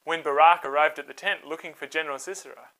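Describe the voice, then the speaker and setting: A woman narrating a scripted children's Bible story in a dramatic, expressive way. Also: deep voice, fast talker, poor audio.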